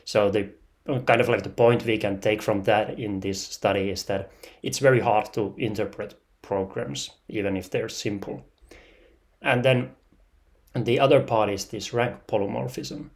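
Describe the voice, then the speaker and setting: A man's voice: fairly low pitch, steady tone, unhurried. Finnish-accented man, hesitant technical talk. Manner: hesitant, many "uh" and "kind of like" fillers. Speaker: researcher presenting thesis.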